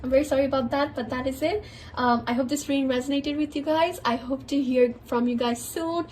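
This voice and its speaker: High-voiced nasal woman, a bit sick. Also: fairly even intonation, brisk, a little mellow, a little hiss or hum. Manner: chatty, heavy on filler words. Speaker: tarot reader. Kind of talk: casual tarot reading to camera.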